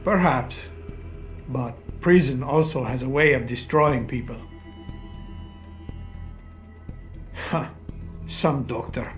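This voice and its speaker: A defeated, educated doctor in a game cutscene. His fairly high male voice is brooding, deliberate and heavy with guilt, with faint music behind it. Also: a bit bright. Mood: bitter. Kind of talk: dramatic video game cutscene acting.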